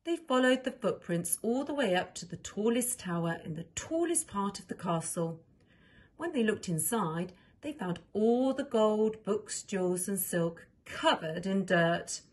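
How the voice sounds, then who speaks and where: A woman reads a children's story aloud in a medium-pitched voice. Her narration is expressive, with very lively rises and falls. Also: measured pace. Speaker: story reader.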